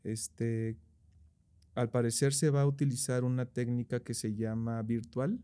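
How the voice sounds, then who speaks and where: A man's voice: mid-range voice, level tone, unhurried, mellow, smooth. A young Mexican man chatting casually as an interview guest, slangy and rambling with restarts. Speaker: guest, behind-the-scenes camera operator.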